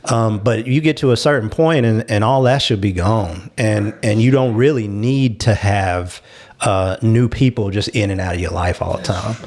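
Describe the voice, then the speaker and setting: A man's voice: on the low side, normal speed, darkish tone. A man in a casual panel discussion, explaining his opinions at length in a conversational way. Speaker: talk show panelist.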